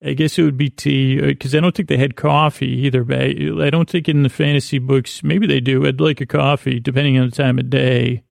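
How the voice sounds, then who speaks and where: A man hosting a bedtime podcast in a creaky voice, meandering and halting through a whimsical, self-deprecating monologue that often trails off mid-thought. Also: medium pitch, fairly even intonation, no room sound, clean studio sound. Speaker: podcast host.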